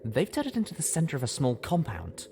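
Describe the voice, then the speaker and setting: A man's voice: mid-range voice, huge pitch swings, a little fast, vocal fry, warm tone, slight background noise, pitched above the performer's own voice. A man voicing an eager young male pony, a starstruck would-be hero in a story reading. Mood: eager, awed.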